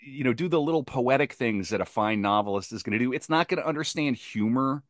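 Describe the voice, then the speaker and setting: A man's voice: mid-range voice, animated, quick pace, no room sound, clean studio sound. A man talking casually as a podcast guest, opinionated and emphatic, often repeating himself to press a point.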